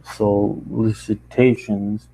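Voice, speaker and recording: A man with a fairly low, dark and relaxed voice reads a fan fiction story aloud. His delivery is informal, with stumbles and self-corrections as he goes. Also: extremely slow, creaky, slightly rough, poor audio. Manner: informal, stumbling over the text and correcting himself. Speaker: amateur narrator.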